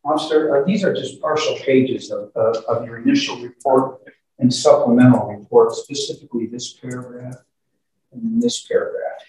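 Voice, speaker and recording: A man speaking as a defense attorney in court, questioning formally and a little haltingly. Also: medium pitch, lively intonation, a little mellow. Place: courtroom, picked up from afar. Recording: very echoey courtroom, distant mic, faint noise.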